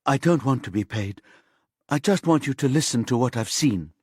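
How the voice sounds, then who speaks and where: An exhausted, distressed woman in a thriller audiobook, voiced by a male narrator. She is hesitant and pleading, with a husky voice in a dry recording. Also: medium pitch, lively intonation, normal speed, darkish tone, no room sound. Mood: distraught. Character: distressed, exhausted woman reluctant to summon a ghost.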